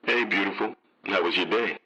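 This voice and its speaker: An actor playing a smooth-talking but secretive suitor in audio drama, placating and careful on a phone call. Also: male voice, deep voice, brisk, noisy background, natural voice. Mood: charming, placating. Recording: dull, lo-fi, radio-like phone sound.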